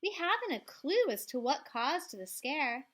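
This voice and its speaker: A woman reading a children's storybook aloud expressively, like a school librarian, with theatrical swells and hushed drops. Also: sits high, big pitch swings, unhurried.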